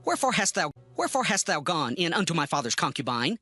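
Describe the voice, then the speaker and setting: Casting: an agitated ancient king, husky, animated and quick, accusing, for scripture audio drama. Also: fairly high pitch, soft background music, heavily acted. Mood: indignant.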